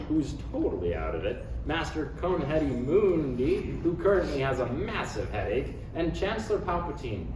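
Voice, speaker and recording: A man narrates a parody script's stage directions. His middle-pitched voice moves around animatedly, picked up from a distance in an echoey, noisy room. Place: echoey room table read.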